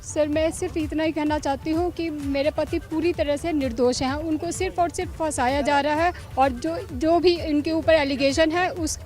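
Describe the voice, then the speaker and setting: A woman's voice: high voice, steady tone, moderate pace, hardly any pauses, darkish tone, faint music behind. A woman giving an earnest, pleading and insistent statement to reporters. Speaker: wife of an accused man appealing.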